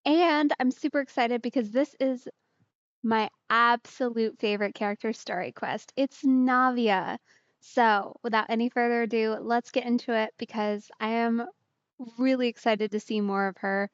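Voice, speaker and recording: Young female let's-play host, higher register, chatty and playful, casual delivery on a dry, slightly muffled close mic. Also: moderate pace, smooth, no room sound. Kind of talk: casual gameplay commentary.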